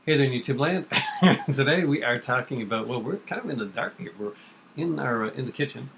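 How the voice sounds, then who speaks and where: A middle-aged man talks quickly and casually as the host of a homemade video. He rambles and gives firm opinions, with faint noise behind him. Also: mid-range voice, animated, creaky, a bit bright. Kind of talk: casual chat about comics. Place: kitchen at home.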